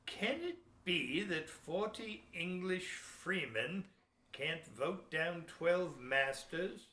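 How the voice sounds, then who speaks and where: Gruff, scheming sea captain played by a man, higher-pitched and very animated, goading, period radio-play style, roomy sound. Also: extremely slow, heavily acted. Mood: sly, goading. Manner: archaic seafaring speech, emphatic and insinuating. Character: gruff, crafty old-time sea captain, sly and goading. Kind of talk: amateur audio theater historical drama acting. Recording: echoey room.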